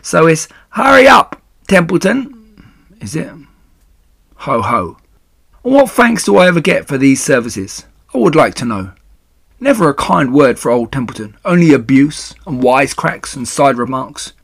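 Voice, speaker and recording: A man's voice: fairly high pitch, big pitch swings, normal speed, gravelly, a bit bright, slightly rough, no room sound, studio quality, pitched above the performer's own voice, heavily acted. A man voicing a grumpy, sarcastic male rat in a children's story, growling, mocking and full of self-pity. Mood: sarcastic, aggrieved.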